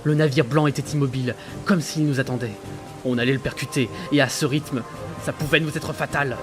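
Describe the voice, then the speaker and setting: Prominent music runs under an actor voicing a haunted seafaring man. He recounts his journal in grave, fearful, dramatic narration, in a mid-pitched voice suited to audio fiction. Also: steady tone, a little fast, close to an everyday voice. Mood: tense. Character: frightened male sailor narrating his ghost-ship journal.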